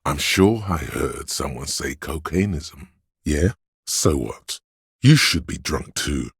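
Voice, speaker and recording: Hedonistic brain-voice of addiction, game character, gravelly, slow-paced drawl, insinuating, coaxing, dry close sound. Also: male voice, extremely low pitch, animated, a bit bright, no echo, exaggerated voice. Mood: coaxing, sly. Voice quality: gravelly, husky. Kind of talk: video game voice lines.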